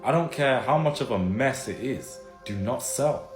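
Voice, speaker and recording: A man's voice: medium pitch, lively intonation, a little slow. A man of Jamaican and Turkish descent living in the UK, urgently warning viewers and repeating his pleas. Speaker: vlogger. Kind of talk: earnest direct-to-camera appeal. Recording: roomy sound with loud music under it.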